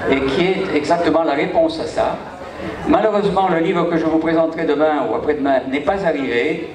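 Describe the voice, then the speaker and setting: A man's voice: on the high side, a little fast, a crowd in the background. An older man from Egypt, speaking informally to an audience with wry, self-mocking humor. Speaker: congress participant introducing himself. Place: large echoey hall. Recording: echoey hall audio from far off. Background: audience murmur.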